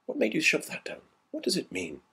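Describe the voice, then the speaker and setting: Man as an inquisitive schoolboy, swooping in pitch. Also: wildly swooping pitch, brisk, creaky, bright, forceful tone, breathy edge, full character voice. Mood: incredulous, nosy. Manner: blunt, questioning. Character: inquisitive, brisk schoolboy questioning his friend. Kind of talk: audiobook character dialogue in a ghost story.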